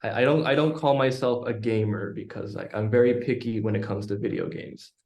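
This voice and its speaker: A young man from Utah speaking as a guest in a casual interview. He answers thoughtfully and at a measured pace. His voice sits in the middle of the range and carries a noticeable room echo. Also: medium pitch, darkish tone. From Utah, United States. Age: young adult. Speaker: podcast guest. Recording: echoey room.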